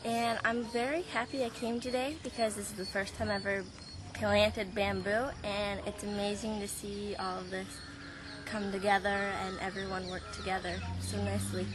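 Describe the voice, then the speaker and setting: Young American woman, evenly pitched. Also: flat delivery, normal speed. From Maine, United States. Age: young adult. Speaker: event participant. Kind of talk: on-camera testimonial. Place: outdoors in a grassy clearing. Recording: noisy outdoor camcorder recording. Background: outdoor ambience, birds.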